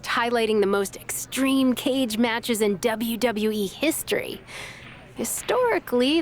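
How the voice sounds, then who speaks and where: A woman's voice: mid-range voice, natural voice. An actress playing a businesslike female wrestling podcaster in a video game cutscene, persuasive and slightly needling. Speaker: podcast host character.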